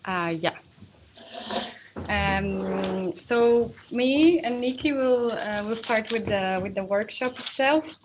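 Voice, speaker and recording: Slow, halting woman with a Polish accent. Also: fairly high pitch, lo-fi sound, background noise. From Poland. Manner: hesitant, halting delivery with frequent pauses. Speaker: workshop instructor. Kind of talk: informal technical workshop presentation.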